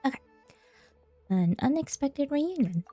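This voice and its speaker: A woman's voice: sits high, very animated, measured pace, warm tone, soft background music. A woman game streamer chatting casually with the viewers of a livestream.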